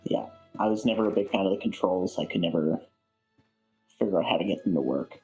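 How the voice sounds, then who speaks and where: A man speaking as a guest in a casual interview, in a halting way that repeats words for emphasis. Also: deep voice, a little mellow, faint music behind, poor audio. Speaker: interview guest, audiobook voice actor.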